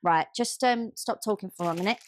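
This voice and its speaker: A woman's voice: medium pitch, a little fast, a little hiss or hum. A woman co-hosting a podcast in an informal, easygoing conversational way. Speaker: podcast co-host. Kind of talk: casual chat.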